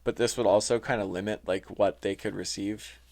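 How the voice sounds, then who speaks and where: Low-voiced man, casual and halting. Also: fairly even intonation, darkish tone, a little hiss or hum. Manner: informal, halting, heavy use of "like". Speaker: podcast host. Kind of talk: casual explainer talk reading from notes. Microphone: close, touchy microphone.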